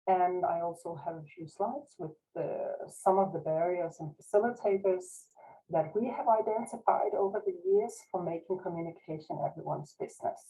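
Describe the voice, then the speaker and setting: Danish-accented woman with a low, mellow voice. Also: moderate pace, poor audio. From Denmark. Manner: modest, careful speech with frequent restarts. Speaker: university researcher giving a guest lecture. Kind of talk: online presentation. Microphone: video call.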